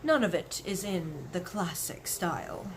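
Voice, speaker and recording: A woman's voice: fairly low pitch, animated, a little slow, a little hiss or hum, rough recording. Woman as a fussy, dignified royal butler. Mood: disdainful.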